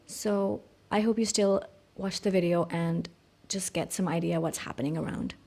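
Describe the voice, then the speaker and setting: A woman's voice: on the low side, normal speed, dark tone. A young woman who speaks Urdu natively, chatting casually in English with Urdu phrases mixed in as she narrates a vlog about her week at art college. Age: young adult. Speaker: art student vlogger.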